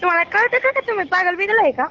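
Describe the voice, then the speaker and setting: A woman's voice: extremely high pitch, expressive, fast, bright, forceful tone. A woman with a Marathi accent on a phone call, speaking in a curt, irritated, scolding way. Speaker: beauty parlour worker receiving a call. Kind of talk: exasperated phone conversation. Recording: dull lo-fi phone line.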